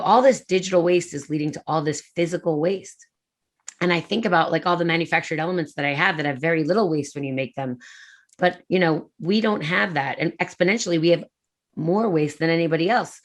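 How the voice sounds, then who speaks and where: Low-voiced animated businesswoman. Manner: fast, animated, filler-heavy. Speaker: prefab industry executive. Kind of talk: conference talk conversation.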